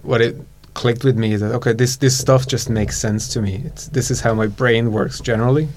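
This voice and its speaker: A man's voice: mid-range voice, steady tone, brisk, darkish tone, slight background noise. A man with a Hungarian accent, a guest expert on a casual podcast, thoughtful and laughing easily as he explains.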